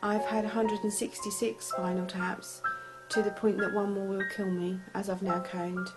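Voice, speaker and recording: A woman's voice: medium pitch, little pitch movement, moderate pace, low-quality recording. A woman giving factual personal testimony about herself. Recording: loud music behind the voice.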